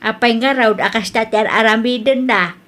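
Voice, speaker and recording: An actress plays an older Ilocano mother in a radio-style drama. Her fairly high voice is blunt and dismissive, the grumbling manner of a protective grandmother. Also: normal speed, fairly bright tone, natural voice. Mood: gossipy, concerned. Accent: Filipino, Ilocano.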